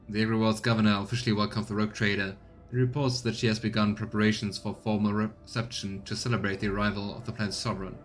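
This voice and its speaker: A man voicing a formal, deferential ship's officer in a video game, measured and courteous. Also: on the low side, lightly acted. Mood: respectful, reporting. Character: formal, deferential officer advising a starship lord.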